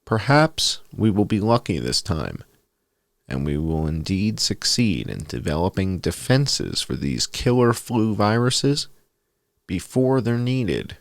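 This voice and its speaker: A man with a low voice narrates a written piece as bedtime reading. His pitch swings widely as he goes, and the sound is close and dry, with very little room. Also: big pitch swings, slow, creaky, no echo. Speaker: host reading an essay aloud. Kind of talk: scripted narration, read aloud as bedtime story.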